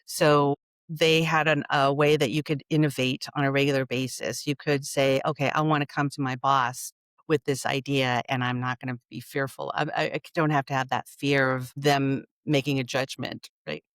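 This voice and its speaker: A woman with a Californian accent, a guest in a casual interview, talking things through thoughtfully and trailing off into softer asides. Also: extremely low pitch, level tone, normal speed, no room sound. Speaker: podcast guest, culture strategist.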